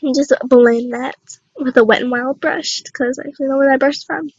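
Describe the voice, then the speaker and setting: A young woman with a raspy voice, casually narrating a makeup tutorial in a rambling, unsure, self-deprecating way. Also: sits high, steady tone, a little fast, darkish tone, no room sound, highs cut off.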